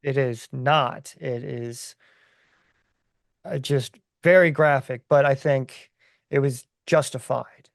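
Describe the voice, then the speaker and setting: Gravelly-voiced man talking on a podcast. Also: mid-range voice, fairly even intonation, takes it slowly, no room sound, studio quality. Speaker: podcast co-host or guest. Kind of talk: casual podcast discussion.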